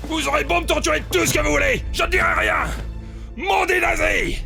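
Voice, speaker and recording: An actor playing a defiant captured male saboteur who taunts his captors in a French accent, with a gravelly, shouting voice. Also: extremely high pitch, fairly even intonation, a little fast, full character voice. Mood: defiant, mocking. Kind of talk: video game cutscene acting. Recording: distant with loud music.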